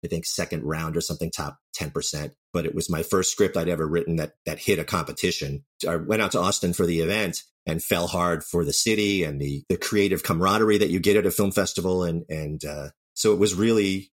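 A man's voice: deep voice, brisk, few pauses. A man speaking casually as an interview guest about his creative work. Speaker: interview guest, screenwriter and producer. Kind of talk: casual interview chat about own career. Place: remote call from home.

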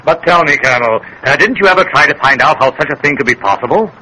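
A man's voice: a little high, expressive, fast talker, pushed and bright. An actor playing a curious, skeptical man in a 1930s radio drama, polite and questioning, with some hesitation. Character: curious, skeptical male listener to a colonel's tale. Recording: noisy 1930s radio recording.

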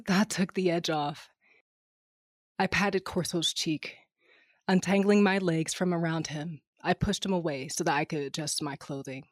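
Cocky lady space smuggler captain, lowish voice, wry n sardonic, telling her own story, dry close sound. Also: steady tone, a little slow, dry recording. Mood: wry, smug. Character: brash, cynical female smuggler starship captain, flippant and ruthless. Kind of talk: first-person audio drama narration and dialogue.

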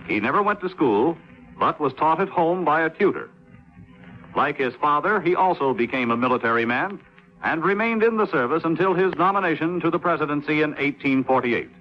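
A man announcing in a formal, presentational, scripted 1950s radio style. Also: a little high. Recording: muffled old radio recording.

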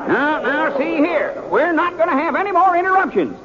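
An actor playing a fussy, hesitant, stammering small-town judge in a 1950s radio western, with a husky voice. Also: male voice, very high voice, big pitch swings, moderate pace, a crowd in the background. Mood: fussy, agitated. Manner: hesitant, stammering, throat-clearing. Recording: dull lo-fi 1950s radio recording.

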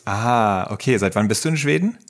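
A man's voice: lowish voice, expressive, fairly quick. Enthusiastic podcast host man. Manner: friendly, enthusiastic. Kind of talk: casual interview podcast.

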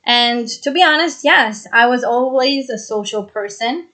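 A woman's voice: a little high, a little slow, pushed and bright. A woman in her twenties from Brazil, raised in Israel, hosting a solo podcast in an earnest, chatty way and repeating phrases for emphasis. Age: 20s. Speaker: podcast host.

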